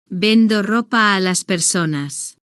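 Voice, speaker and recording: A woman reading a simple first-person story for language beginners, in slow, deliberate, evenly paced short sentences. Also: mid-range voice, a bit bright, clear, resonant tone, no echo, studio quality. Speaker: language-learning narrator.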